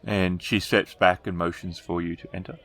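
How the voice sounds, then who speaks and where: A man narrating a tabletop game as game master, improvising descriptions in halting phrases. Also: sits low, little pitch movement, fairly bright tone.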